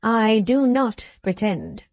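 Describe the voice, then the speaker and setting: Woman reading a restrained, dignified young man; mellow, dry. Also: fairly high pitch, slow pace, no room sound, dull audio. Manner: calm, restrained.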